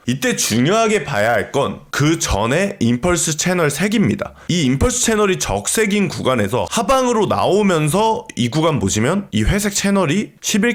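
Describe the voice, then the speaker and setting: A man narrating an instructional tutorial as its host, explaining step by step and often checking points with rhetorical questions. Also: a little high. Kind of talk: instructional screen-share narration.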